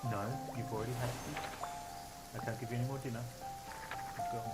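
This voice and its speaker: A man's voice: medium pitch, fairly even intonation, moderate pace. A man casually chatting and thinking aloud as a game streamer, with game music behind him. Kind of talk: casual live gameplay commentary.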